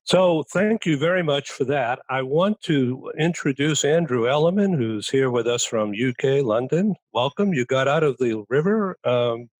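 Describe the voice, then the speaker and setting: A man hosting a talk show panel over a video call, introducing guests briskly with fillers. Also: medium pitch, animated, dry recording.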